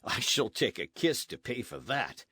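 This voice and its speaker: Man acting a pompous, pushy army captain, animated. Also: medium pitch, a little slow, dry recording, studio quality, heavily acted. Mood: menacing, gloating. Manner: pompous, sneering, insistent. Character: arrogant, pushy male Spanish colonial army captain. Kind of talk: storybook narration with character voices.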